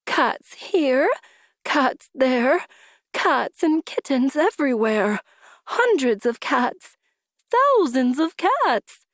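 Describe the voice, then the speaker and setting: A woman's voice: high voice, no echo, studio quality, voice pushed up. A woman voicing a very old wife in a storybook, exasperated and practical, scolding with emphatic phrasing.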